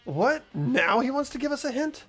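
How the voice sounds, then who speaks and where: A man's voice: very high voice, sing-song, moderate pace, faint music behind, heavily acted. A man voicing an earnest, anxious teenage boy investigator, narrating and questioning in a video game readthrough. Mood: suspicious, puzzled. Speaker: host of playthrough. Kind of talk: amateur game voice acting.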